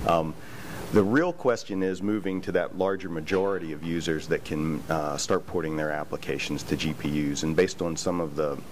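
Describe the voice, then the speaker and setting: A man introducing himself on a conference panel as a researcher and professor, explaining in a steady way with some fillers. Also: deep voice, normal speed, background noise. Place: conference hall with audience.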